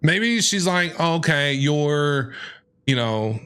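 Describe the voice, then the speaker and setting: Excitable, high-pitched male game streamer. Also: takes it slowly, smooth. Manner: excitable, jokey, repeats himself. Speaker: game streamer reacting to a story quest. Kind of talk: casual live reaction commentary. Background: game music.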